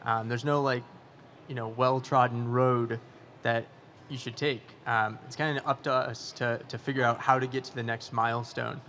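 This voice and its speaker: A man's voice: medium pitch, flat delivery, normal speed, fairly bright tone, a little hiss or hum. A man in his twenties on a startup panel, speaking casually with likes and ums. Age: 20s. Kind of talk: conference panel discussion.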